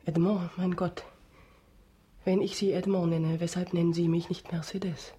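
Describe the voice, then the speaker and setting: A woman's voice: fairly low pitch, flat delivery, a little slow, mellow, slightly rough. An actress in a German radio drama playing an anguished noblewoman, earnestly imploring as a desperate mother to a man she once loved. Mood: desperate pleading.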